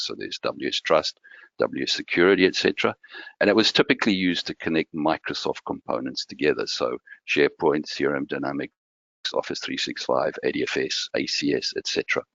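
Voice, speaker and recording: Deep gravelly man giving a tech talk. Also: fairly bright tone, dry recording. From Malawi. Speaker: guest speaker. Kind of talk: technical presentation. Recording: dull lo-fi audio.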